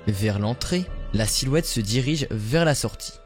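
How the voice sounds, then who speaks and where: A man narrating paranormal stories in a steady, measured storytelling voice. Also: medium pitch, soft background music. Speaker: YouTuber.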